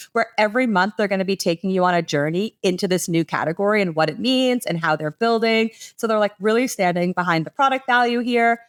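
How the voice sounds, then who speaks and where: A woman speaks at a medium pitch, like a podcast panelist walking colleagues through a case study. Her delivery is conversational and enthusiastic. She explains clearly in casual, everyday phrasing. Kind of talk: conversational business presentation.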